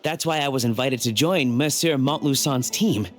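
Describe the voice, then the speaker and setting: A man's voice: mid-range voice, normal speed, soft background music, lightly acted. An actor playing an enthusiastic male researcher explaining legends in a video game. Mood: eager. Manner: expository, enthusiastic lecturing. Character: adult male researcher, eager history enthusiast.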